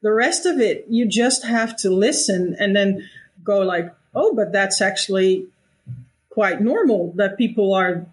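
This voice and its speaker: A woman's voice: mid-range voice, expressive, measured pace. A woman in her fifties, a guest in an interview, speaking reflectively with many restarts and easy laughter. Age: 50s. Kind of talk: conversational interview.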